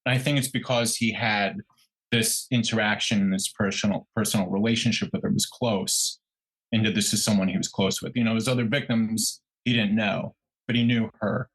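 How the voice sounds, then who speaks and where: A man's voice: lowish voice, moderate pace, slightly rough, rough recording. A man co-hosting a true crime podcast, telling the story conversationally and acting out people's reactions as he goes. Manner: animated retelling, acts out quoted reactions.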